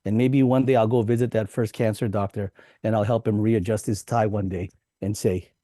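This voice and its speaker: A man's voice: on the low side, steady tone, moderate pace, dark tone, no room sound, clean studio sound. A man as a podcast guest, telling a personal story in an earnest, reflective way with light laughs.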